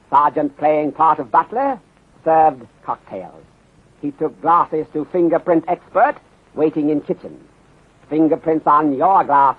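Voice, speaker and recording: A calm detective inspector with a put-on Chinese accent, speaking in measured, formal, clipped sentences. His husky voice comes through a narrow, phone-like 1930s radio recording. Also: on the high side.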